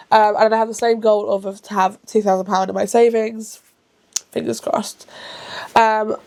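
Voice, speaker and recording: A chatty woman of about thirty, talking casually to the camera as a book vlogger. She rambles and often stops to correct herself mid-sentence, sometimes rushing her words. Her voice sits at an ordinary middle pitch. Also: vocal fry, darkish tone. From England. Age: around thirty. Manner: rushed at times.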